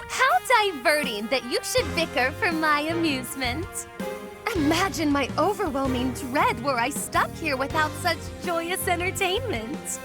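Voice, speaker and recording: A woman's voice: high-pitched, lively intonation, unhurried, music in the background. An actress voicing a haughty young noblewoman mage in a video game, speaking with pompous, florid, old-fashioned diction. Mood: amused, disdainful.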